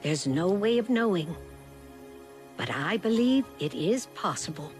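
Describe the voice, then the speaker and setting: A woman playing a kindly, wise, motherly guide in a video game, measured and caring. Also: lowish voice, expressive, darkish tone, faint music behind. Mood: solemn.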